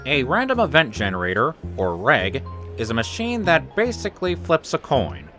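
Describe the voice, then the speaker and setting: A man playing a brisk, enthusiastic male science teacher, lecturing a class in an emphatic, explanatory way. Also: mid-range voice, huge pitch swings, bright, forceful tone, faint music behind. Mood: instructive, keen. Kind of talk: classroom lecture in a comic dub.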